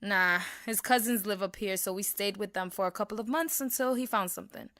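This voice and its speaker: Woman playing a blunt, bright-voiced teenage girl. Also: medium pitch, a little fast, no echo, studio quality, natural voice. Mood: curious, casual. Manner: blunt, slangy, swearing. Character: blunt teenage Puerto Rican high school girl in detention. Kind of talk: naturalistic audio drama acting.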